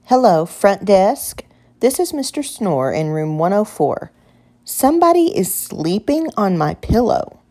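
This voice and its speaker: A woman's voice: medium pitch, very animated, a little slow, mellow, voice pushed up. A woman voicing a grumpy, sleepy male hotel guest who grumbles indignantly in a children's story. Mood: grumbling. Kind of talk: children's picture book character voice.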